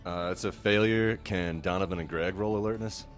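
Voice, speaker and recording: A man's voice: low voice, steady tone, fairly quick, faint music behind. A man bantering casually as a player in a tabletop roleplaying game.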